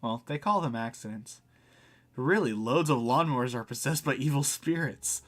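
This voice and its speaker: A man's voice: mid-range voice, lively intonation, moderate pace, fairly bright tone, lightly acted. A man voicing a laid-back, wry and reassuring cafe barista in a visual novel. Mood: wry, matter-of-fact. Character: laid-back, dry, kindly cafe barista guiding the dead.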